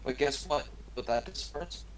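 A man's voice: medium pitch, moderate pace, a little hiss or hum, rough recording. A man co-hosting a gaming video, joking with a friend and whispering to himself as he crafts. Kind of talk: casual gaming chat.

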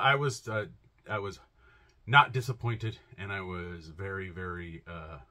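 Man, 30s, home vlogger; bright, forceful tone sitting a bit low, loose and rambly with ums. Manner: chatty and rambling, many fillers and restarts. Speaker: comic shop vlogger. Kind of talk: casual to-camera vlog. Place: home room lined with shelves.

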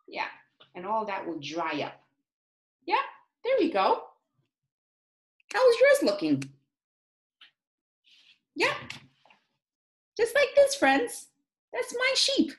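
A woman in her forties teaching young children in an expressive, encouraging, sing-song way, as in a read-aloud and craft lesson. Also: fairly high pitch. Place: small indoor home classroom. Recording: roomy, echoey home room sound.

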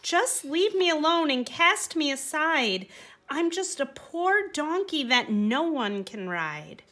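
Woman voicing a high-pitched, emotional little donkey. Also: very animated, takes it slowly, a bit bright, heavily acted. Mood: sad, self-pitying. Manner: exclaiming, emphatic rhyming speech. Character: small, weak young male donkey, downcast then excitedly believing.

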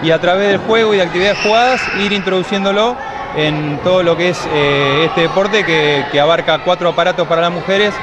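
Middle-aged man, Argentine accent, high voice, measured with hesitant fillers, loud kids behind. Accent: Argentine Spanish. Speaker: gymnastics school coordinator. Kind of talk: explanatory interview answers. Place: indoor gymnastics hall with children. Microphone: handheld mic held by interviewer. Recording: loud crowd and noise behind.

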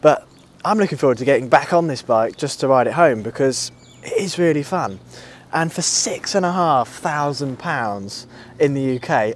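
Easygoing British man vlogging over outdoor noise. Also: mid-range voice. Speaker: motorcycle reviewer, channel host. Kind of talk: casual vlog chat to camera. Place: outdoors at a table outside a country pub. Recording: clear outdoor ambient and vehicle noise.

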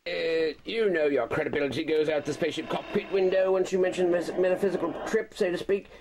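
A man's voice: fairly high pitch, steady tone, fast talker, close to an everyday voice. A man playing an embittered, rambling spaceship commander in an amateur science-fiction audio drama, agitated and defiant. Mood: urgent. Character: embittered male spaceship commander and struggling artist, ranting defiantly. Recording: very noisy home-made tape recording.

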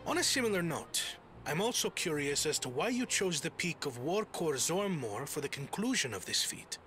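Bright, earnest young scholar. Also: male voice, fairly high pitch, sing-song, moderate pace, faint music behind. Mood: puzzled, curious. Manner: articulate, inquisitive, formal. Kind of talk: scripted video game cutscene acting.

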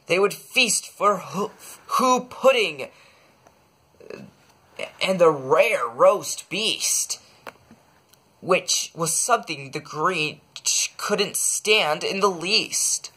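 A man reading a children's bedtime story aloud, expressively, with dramatic pauses. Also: high voice, expressive, bright, forceful tone, slightly rough. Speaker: storybook reader.

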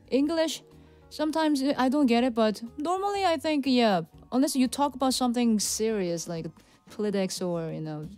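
A woman in her thirties, a native Korean speaker with a Korean accent, chatting casually with livestream viewers, laughing often and talking softly. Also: a little high. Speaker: livestream pianist host. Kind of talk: casual chat with viewers, reading donations. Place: cozy home music room with Christmas decorations. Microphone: studio condenser mic on stand.

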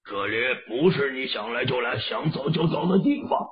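A man's voice: medium pitch, animated, moderate pace, over a noisy crowd, lightly acted. A man playing a stern, protective elderly leader who admonishes with authority in an audio drama, in dull lo-fi sound. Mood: stern warning.

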